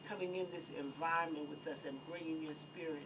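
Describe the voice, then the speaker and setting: A man's voice: on the high side, fairly even intonation, a little fast. A man giving a rambling, earnest welcoming speech as host at a museum exhibition opening. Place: museum hall. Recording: distant mic with room noise.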